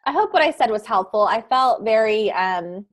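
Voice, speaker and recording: A woman's voice: a little high, expressive, normal speed. A woman guest speaking casually on a video call, laughing often and putting herself down. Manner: laughing, self-deprecating.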